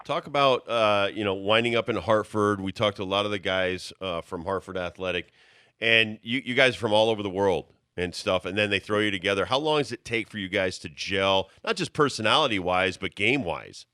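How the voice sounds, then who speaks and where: A man's voice: on the low side, a little fast, pushed and bright, clear, resonant tone, no echo, studio quality. A male co-host on a sports talk radio show, asking casual, rambling questions.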